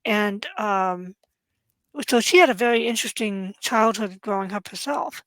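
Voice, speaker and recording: A woman interviewer asking questions in relaxed chat, reacting eagerly to her guest. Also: mid-range voice, normal speed, no echo, poor audio. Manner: eager, responsive, lots of short reactions.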